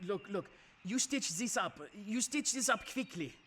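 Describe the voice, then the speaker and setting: Man acting agitated high-voiced obsessive inventor. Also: expressive, normal speed, bright, forceful tone, slight background noise. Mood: insistent, commanding. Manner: urgent, stammering, pleading, commanding. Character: agitated, obsessive male amateur sound inventor, desperate and insistent. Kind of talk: radio drama acting.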